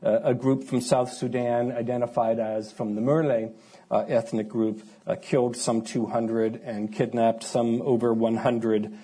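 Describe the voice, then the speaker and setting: A man speaking on an academic panel, halting and hesitant, with many fillers. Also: mid-range voice, flat delivery, mellow, breathy edge.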